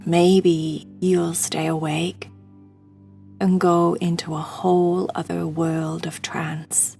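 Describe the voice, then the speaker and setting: A woman guiding a sleep meditation in a hushed, soothing, breathy voice that often nears a whisper. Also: on the low side, little pitch movement, slow, soft background music. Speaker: meditation guide.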